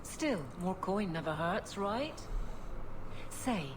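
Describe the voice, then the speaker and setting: An actress playing a stern, no-nonsense captain of the guard in a video game, speaking in curt, clipped sentences. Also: female voice, medium pitch, very animated, takes it slowly, noisy background. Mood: brisk, dismissive. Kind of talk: video game dialogue acting.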